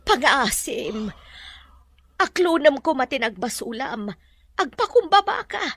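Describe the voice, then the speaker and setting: A woman's voice: high-pitched, big pitch swings, a little slow, fairly bright tone, heavily acted. A woman playing a firm, moralizing counselor to a prisoner in an Ilocano audio drama. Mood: stern.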